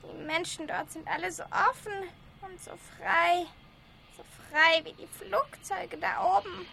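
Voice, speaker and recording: Kind old grandma for radio play, acted by a woman: very high, bright, gentle and doting. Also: fairly even intonation, a little slow, gravelly, a little hiss or hum, heavily acted. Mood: wistful. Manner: gentle, doting, full of endearments. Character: kind, naive, lonely old grandmother with timid dreams. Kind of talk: German radio play dialogue.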